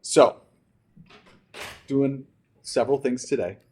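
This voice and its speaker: A man's voice: mid-range voice, takes it slowly, dark tone, slightly rough. A man, a teacher, reading a rhyming picture book aloud to students in a theatrical, emphatic way.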